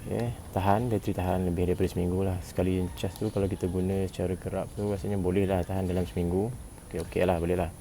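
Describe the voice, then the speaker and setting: A Malaysian man with a low, creaky voice and a dark, relaxed tone. He reviews a gadget informally, repeating phrases and adding little tags as he goes. There is faint background noise on the recording. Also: fast talker. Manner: informal, repeats himself, frequent "eh" tags and throat clearing. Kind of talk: casual product review vlog.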